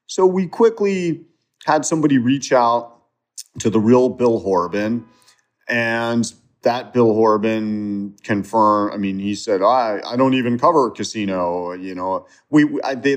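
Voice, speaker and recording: A man speaking as an interview guest, retelling a story in a casual, conversational way with frequent fillers and restarts. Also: on the low side.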